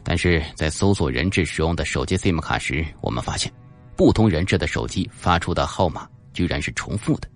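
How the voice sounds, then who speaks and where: A man voicing an excited male police technical chief explaining things in a suspense audiobook. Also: very deep voice, brisk, faint music behind, heavily acted.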